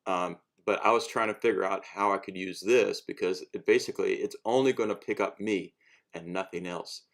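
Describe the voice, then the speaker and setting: Guy w a lowish voice rambling thru his diy costume gear demo, kinda hesitant, restarts a lot. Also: normal speed, gravelly, a bit bright. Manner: rambling, hesitant, self-interrupting. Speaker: hobbyist demonstrating homemade cosplay gear. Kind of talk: casual how-to vlog talk.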